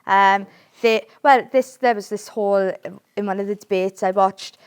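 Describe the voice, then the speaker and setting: A woman's voice: mid-range voice, steady tone, normal speed, clear, resonant tone. A middle-aged Welsh woman hosting a casual political discussion, earnest and emphatic, often checking in with "right?". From Wales.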